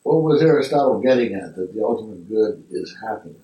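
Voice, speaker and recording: A man's voice: lowish voice, expressive, a little fast, lo-fi sound. A man leading a philosophy class discussion, thinking aloud in a reflective way with some restarts. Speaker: discussion group leader. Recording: echoey room, mic far off.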